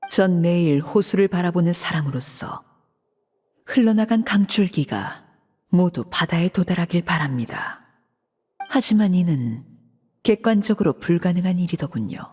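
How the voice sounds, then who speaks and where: A woman's voice: fairly low pitch, expressive, a little mellow, slightly rough. A cold, composed female leader. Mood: cool. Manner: measured, formal and controlled. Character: cold, composed woman leader, formal diplomat. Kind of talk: video game voice acting. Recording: reverberant game audio.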